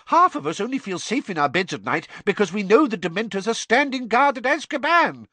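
A man's voice: high-pitched, sing-song, fairly quick, bright, forceful tone, no echo, pitched above the performer's own voice, heavily acted. A man voicing a blustering, pompous, stubborn middle-aged minister, talking in an indignant rush. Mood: angry.

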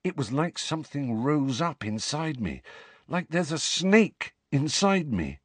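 A man voicing a troubled teenage boy who speaks in tense, hushed, urgent bursts. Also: a little high, big pitch swings, unhurried, dry recording, voice pushed up. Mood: insistent.

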